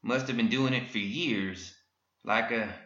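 A cantankerous old small-town man, voiced by a male narrator in an audio story, speaking in slow, folksy grunts. The voice is recorded in a roomy, slightly dull sound. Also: medium pitch, fairly bright tone, close to an everyday voice. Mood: grim, vindicated. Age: older. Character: gruff old townsman, cantankerous and vindicated.

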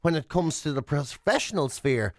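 A man's voice: a little high, lively intonation, normal speed, no echo, clean studio sound. A man with an Irish accent hosting radio in casual comic banter, jokey and emphatic, repeating himself for effect. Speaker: radio show host.

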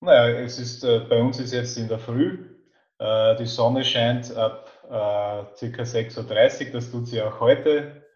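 An Austrian man in his fifties speaks as a podcast guest. He keeps to a narrow, even pitch and picks his words with some hesitation. The recording is dull and echoey. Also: unhurried. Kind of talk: casual interview about his life. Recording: dull, echoey remote-call sound.

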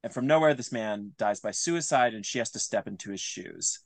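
A man's voice: lowish voice, lively intonation, brisk, gravelly, no room sound. A man speaking as an interview guest, explaining things earnestly in a conversational way and often restating his phrases. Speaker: interview guest, audio drama creator.

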